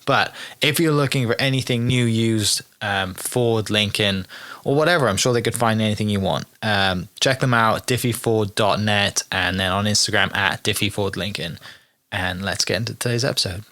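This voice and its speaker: Low-voiced man hosting a casual podcast. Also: normal speed, pushed and bright. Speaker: podcast host and interviewer. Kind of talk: casual interview chat.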